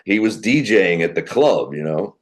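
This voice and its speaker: A middle-aged man with a deep voice, a comedian telling animated stories in a podcast interview. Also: moderate pace. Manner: animated storyteller who acts out quoted people. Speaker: guest comedian.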